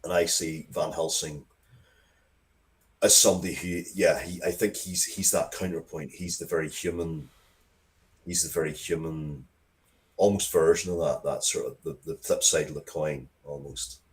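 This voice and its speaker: Very deep-voiced man, casual storyteller. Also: fairly even intonation, normal speed. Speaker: interviewed writer of comics and stories. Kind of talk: casual interview, storytelling about own work.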